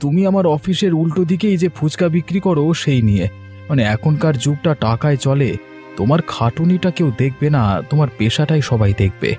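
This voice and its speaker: A man's voice: a little high, lively intonation, brisk, dark tone, faint music behind, close to an everyday voice. A man voicing a proud, insistent younger brother, earnest and firm, in a cartoon moral story. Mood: troubled.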